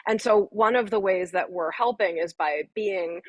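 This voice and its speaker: A woman's voice: mid-range voice, moderate pace, dry recording, dull audio. A woman speaking as an interview guest, explaining things in an articulate, earnest way. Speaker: podcast guest, writer and voting activist.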